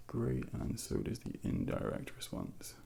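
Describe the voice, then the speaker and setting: A man speaks in a soft, breathy, near-whispered voice full of heavy creak. He plays an ASMR doctor, gently guiding a patient through an exam with unhurried, reassuring instructions. His pitch moves around freely, and there is faint noise behind him. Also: huge pitch swings. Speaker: ASMR performer role-playing a doctor. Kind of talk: soft-spoken ASMR medical exam role-play.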